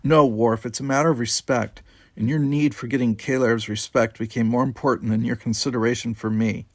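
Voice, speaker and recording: A man's voice: medium pitch, steady tone, a little fast, a little mellow, no room sound, studio quality, close to an everyday voice. A man voicing a feisty young human woman cadet, blunt, pointed and colloquial, in a story reading. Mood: angry.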